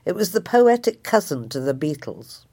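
A woman, a writer reading a reflective personal essay in a measured, thoughtful way. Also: sits low, big pitch swings, darkish tone, breathy edge. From Liverpool area, England.

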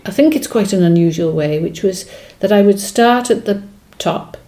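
A woman's voice: mid-range voice, moderate pace, mellow. A woman poet whose first language is Welsh, speaking thoughtfully in an interview, with hesitations and restarts. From Wales. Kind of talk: reflective conversational interview.

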